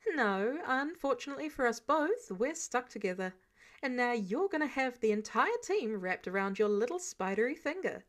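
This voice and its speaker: A woman's voice: fairly high pitch, big pitch swings, moderate pace, fairly bright tone. A woman voicing a wry, protective grown man, a superhero mentor with a casual, sardonic manner, in a story reading. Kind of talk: character dialogue in fan fiction reading.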